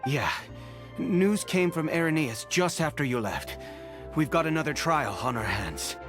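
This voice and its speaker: Earnest, worried guard. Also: male voice, on the high side, animated, unhurried, music in the background. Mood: urgent. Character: earnest, worried male guard bringing news. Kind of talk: video game voice acting.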